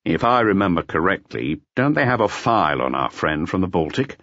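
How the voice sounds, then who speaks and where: A male narrator plays an unruffled inspector of forty-five in an audiobook. He is terse and commanding, with a husky voice in a dry, slightly dull recording. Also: low voice, big pitch swings, a little fast, a bit bright, no room sound, exaggerated voice. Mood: calm, terse. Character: calm, gruff, bulky male police inspector of forty-five.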